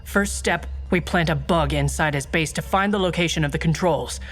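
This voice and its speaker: A woman's voice: deep voice, moderate pace. A woman playing an earnest, easily exasperated secret agent in a comic video game, melodramatic and incredulous. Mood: businesslike. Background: game music.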